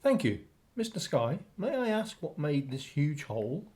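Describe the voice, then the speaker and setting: Mellow, earnest, plucky everyman. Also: fairly high pitch, big pitch swings, normal speed. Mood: earnest, compliant. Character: plucky, literal-minded, polite man facing down gangsters. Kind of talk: radio play acting.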